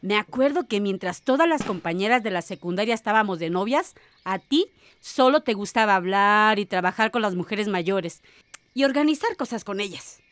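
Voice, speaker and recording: A woman's voice: medium pitch, animated, normal speed, a bit bright, natural voice. A woman playing a sociable, lightly teasing cousin visiting a village in a radio drama, chatty and familiar.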